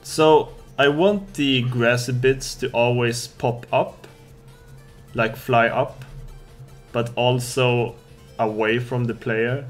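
A man's voice: mid-range voice, fairly even intonation. A young man streaming game development, thinking aloud in a casual chat, a little music behind. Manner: slow, thinking aloud, laughs often. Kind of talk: casual chat while coding.

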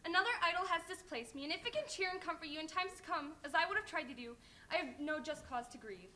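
A woman's voice: sits high, lively intonation, fast, sharp tone. A woman on stage playing a hurt but resolute young sweetheart in a Christmas play. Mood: hurt, reproachful. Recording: live stage, faint room noise.

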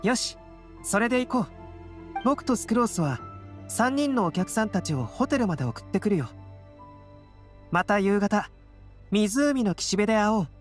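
Gentle young scholar boy played by a woman, courteous and measured, lilting mid-range delivery for game dialogue. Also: mid-range voice, expressive, faint music behind, lightly acted. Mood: calm. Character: calm, courteous young male alchemist teacher. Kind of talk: anime video game voice acting.

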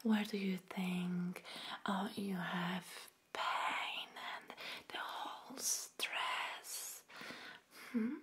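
A young woman whispering softly and soothingly in a close-miked ASMR roleplay as a massage therapist, breathy and gentle. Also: fairly low pitch, fairly even intonation, very slow. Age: young adult. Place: small dim room indoors. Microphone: close microphone off camera.

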